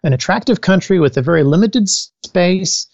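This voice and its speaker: A man's voice: high-pitched, animated, moderate pace, gravelly, a little mellow, no echo. Man, American accent, interview guest, blunt opinions, dull lo-fi sound, very dry. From United States. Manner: frank, emphatic, thinks aloud with restarts. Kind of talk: opinionated political interview talk.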